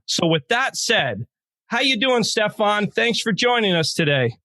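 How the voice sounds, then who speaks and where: A man's voice: high voice, sing-song, a little slow, bright, forceful tone, no echo. A man hosting a podcast, interviewing in a chatty, conversational way. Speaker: podcast host.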